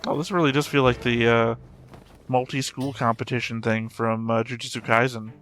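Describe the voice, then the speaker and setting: A man's voice: medium pitch, steady tone, moderate pace, fairly bright tone. A man giving casual, off-the-cuff commentary as the host of a reaction show.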